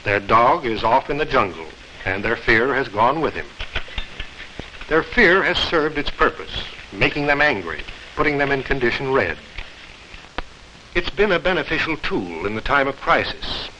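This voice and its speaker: A man's voice: mid-range voice, big pitch swings, moderate pace, sharp tone. A man narrating a 1940s training film as a medical officer, explaining in a didactic, scripted way. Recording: noisy, crackly 1940s film soundtrack.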